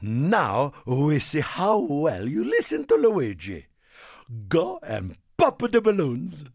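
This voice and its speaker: An actor playing an excitable, friendly little Italian car in a video game, with an Italian accent and a theatrical, effusive manner. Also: male voice, a little high, slow, creaky, slightly rough, no echo, studio quality, full character voice. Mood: impatient. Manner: theatrical, effusive, speaks of himself in third person. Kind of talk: video game character voice lines.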